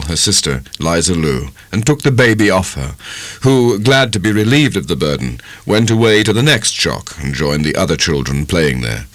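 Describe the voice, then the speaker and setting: A man giving an expressive, measured narration of a literary audiobook. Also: sits low, dry recording.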